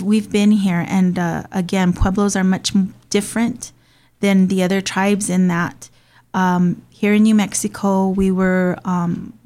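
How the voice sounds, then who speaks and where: A woman's voice: lowish voice, warm tone. A woman who grew up in Hawaii, hosting and talking in a casual, earnest way with frequent ums. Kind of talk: casual conversational talk.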